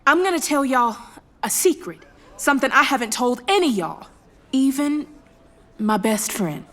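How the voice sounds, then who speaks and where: A woman playing a sharp-tongued, defiant small-town scientist with a folksy, commanding manner in an audio drama. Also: sits high, lively intonation, a little slow.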